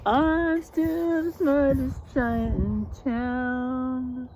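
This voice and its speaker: A woman's voice: high-pitched, fairly even intonation, very slow, a little mellow, a little hiss or hum. A woman voicing a cheerful, kind and proud male giant from a storybook, with exclamatory, sing-song delivery.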